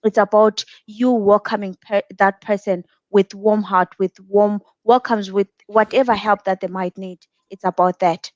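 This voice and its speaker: A young woman from Zimbabwe giving earnest, measured reflections as a guest speaker at an online event, pausing between thoughts. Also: mid-range voice, fairly even intonation, no echo. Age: young adult. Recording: dull, dry lo-fi sound.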